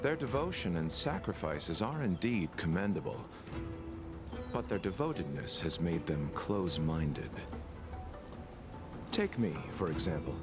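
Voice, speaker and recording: An actor playing a polite, scheming male healer in a game, arguing his case calmly and persuasively. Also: sits low, measured pace, long pauses, heavily acted. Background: game music.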